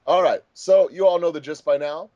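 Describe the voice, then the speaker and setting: A man's voice: a little high, brisk, mellow, dull audio, slight background noise. A man hosting a casual commentary track as a fan dub director, chatty and jokey.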